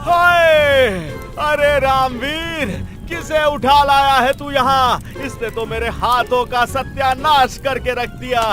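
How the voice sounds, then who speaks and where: Guy doing a cartoon blacksmith, hot tempered, really high voice, loud drawn out griping, music behind. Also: steady tone, takes it slowly, hardly any pauses, exaggerated voice. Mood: pained, outraged. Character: hot-tempered aggrieved village blacksmith, grown man. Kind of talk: cartoon story voice acting.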